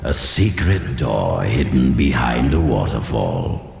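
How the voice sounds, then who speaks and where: An actor playing the haughty ghost of an ancient male sorcerer in a video game, gravelly and sneering. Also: very deep voice, big pitch swings, slow pace, echoey room, music in the background, full character voice. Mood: sardonic.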